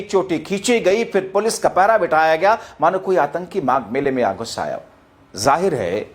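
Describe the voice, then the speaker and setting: A man presents political news in a dramatic, emphatic, rhetorical style, addressing his viewers directly. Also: on the high side. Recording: music bed behind the voice. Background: background music.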